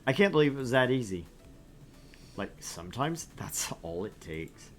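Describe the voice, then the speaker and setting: Animated man reading a game aloud. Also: medium pitch, normal speed, soft background music. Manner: lively, animated reading with asides. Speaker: let's play host. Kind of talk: reading a visual novel aloud with commentary.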